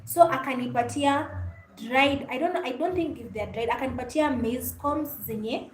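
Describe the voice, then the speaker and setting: A woman with a Kenyan accent and a high voice hosts a casual livestream. She talks in a friendly, conversational way. The room gives her voice a clear echo, with a little noise underneath. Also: normal speed. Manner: friendly, mixes English and Swahili, often thanks and greets viewers. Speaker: livestream host. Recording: echoey room with faint background noise.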